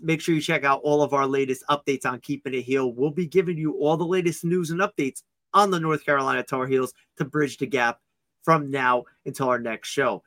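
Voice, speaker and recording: A man's voice: medium pitch, fairly bright tone, no echo. A man co-hosting a sports podcast, chatting casually in an upbeat, joking way. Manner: upbeat, joking, quick asides. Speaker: podcast co-host.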